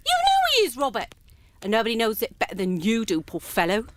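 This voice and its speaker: Woman playing an emphatic wife, fairly high. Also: wildly swooping pitch, brisk, no echo. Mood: indignant, grudging. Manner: emphatic, scolding. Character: indignant, sharp-tongued, loving Victorian working-class wife. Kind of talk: radio drama acting.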